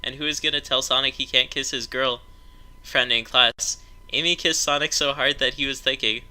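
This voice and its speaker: Man, strikingly bright and tense voice, average pitch, comedic read-aloud, storytime narrator. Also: fairly even intonation, moderate pace, poor audio.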